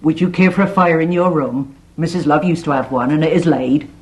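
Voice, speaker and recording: An actress playing a stern, suspicious country innkeeper, blunt and formal, in a farce. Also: female voice, low voice, fairly quick, darkish tone, natural voice, low-quality recording. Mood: stern, dry. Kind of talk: theatrical farce acting.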